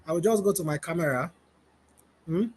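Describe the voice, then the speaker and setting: A man, demonstrating software on a webinar panel, loosely repeating himself as he goes. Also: on the high side, brisk. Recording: loud background noise.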